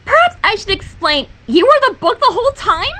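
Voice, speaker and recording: A woman voicing an exasperated, simmering cartoon pony in an acted fan fiction reading, with drawn-out, emphatic delivery. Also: very high voice, very animated, pushed and bright, full character voice. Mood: acted dialogue.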